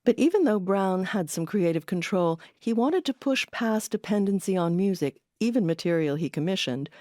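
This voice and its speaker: A woman's voice: medium pitch, expressive, normal speed, a little mellow, dry recording. A woman hosting and narrating a documentary from a script.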